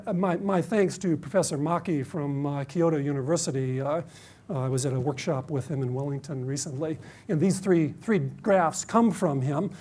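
Middle-aged American male academic, fairly high-pitched, hesitant conference speaker at a lectern. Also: dark tone. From Detroit, USA. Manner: hesitant, many "uh" fillers and restarts. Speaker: university researcher giving a conference talk. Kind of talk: conference presentation. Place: conference stage with dark curtains.

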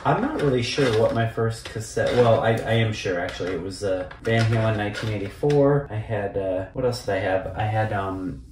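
A man's voice: fairly low pitch, normal speed. A distant, echoey and noisy home-office recording of an American man in his fifties. He talks casually to the camera like a vlogger. His delivery is conversational and nostalgic, wandering from thought to thought. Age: 50s. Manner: rambling, conversational. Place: small home office, indoors.

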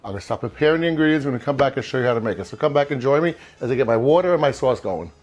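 A man's voice: mid-range voice, expressive, racing, soft background music. A man in his fifties hosting an informal cooking demonstration in a home kitchen, chatty and often repeating and rephrasing himself, on a lapel mic. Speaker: cooking show host.

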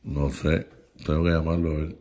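A man's voice: rumbling low, little pitch movement, normal speed, mellow. A man in casual, teasing banter as a prankster, recorded indoors. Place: house, indoors. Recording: clearly noisy room recording.

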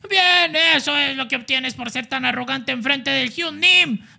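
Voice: high voice, measured pace, vocal fry, sharp tone, voice pushed up, full character voice. A reader voicing an arrogant young male sidekick who taunts a beaten rival. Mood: sneering. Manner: sneering, taunting.

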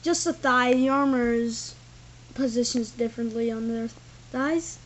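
A woman with a high voice chats her way through a toy review, loose and rambling. She is recorded on a tripod camera in a carpeted room indoors, with a faint hum of room noise underneath. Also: measured pace, poor audio. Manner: rambling, loose, full of "like" and "thing". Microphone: camera on a tripod, built-in mic.

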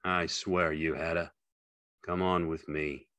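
A man playing a calm, kindly, understanding ranch hand in an audiobook, speaking in a gentle, folksy manner. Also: sits low, takes it slowly, dry recording, full character voice. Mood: consoling. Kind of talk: audiobook character acting.

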